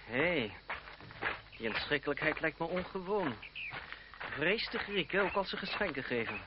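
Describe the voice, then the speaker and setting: An actor playing a sharp-tongued, bitter but loyal young gentleman in a Dutch radio play, theatrical and mocking. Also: fairly high pitch, lively intonation, moderate pace, close to an everyday voice. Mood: sardonic. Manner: sardonic, theatrical. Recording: dull, noisy old radio play recording.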